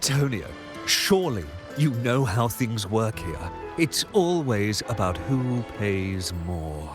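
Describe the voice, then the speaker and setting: An actor playing a smug, mercenary rival in a video game, mocking and condescending, with a drawling delivery. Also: male voice, fairly low pitch, sing-song, slow. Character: smug, taunting, mercenary male rival from a powerful family. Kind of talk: video game story dialogue. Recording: music behind the voice.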